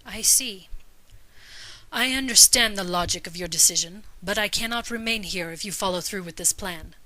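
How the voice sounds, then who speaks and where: A woman voicing a cool, blunt alien woman in an audio story, logical and disdainful, with flat, formal delivery. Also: mid-range voice, normal speed, no room sound, lightly acted, rough recording.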